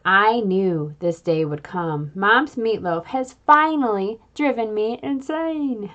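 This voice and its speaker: A woman reading a children's book aloud in an expressive, playful way, adding little sound effects. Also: medium pitch, takes it slowly, dull audio. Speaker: reader.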